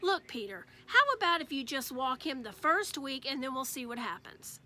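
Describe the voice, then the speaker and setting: A woman's voice: high-pitched, sing-song, moderate pace, bright, forceful tone, full character voice. A woman voicing a reasonable, firm mother who persuades her children in a storybook. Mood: persuasive.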